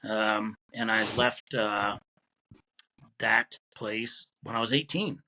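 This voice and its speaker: A man's voice: on the low side, steady tone, takes it slowly, sharp tone, poor audio. A man in his sixties with a Connecticut accent, a retired Marine officer telling his life story in an easygoing, chuckling way as a guest in an interview. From Connecticut, USA. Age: 60s. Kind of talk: casual interview conversation.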